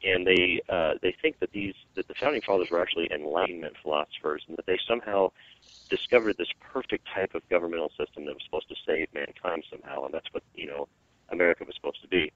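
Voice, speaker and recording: Man, very low and hoarse, radio phone-in guest, emphatic with restarts, thin phone line. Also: fast talker, no room sound. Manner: earnest, emphatic, with frequent restarts. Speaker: radio show guest, evangelist. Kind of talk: call-in radio interview. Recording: narrow phone line, dry.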